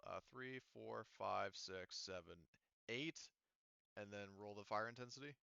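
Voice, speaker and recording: Male game master, bright clean voice, close dry sound, loose and sweary casual narration. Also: lowish voice, unhurried, gravelly, clear, resonant tone, no room sound, highs cut off. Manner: informal, profane.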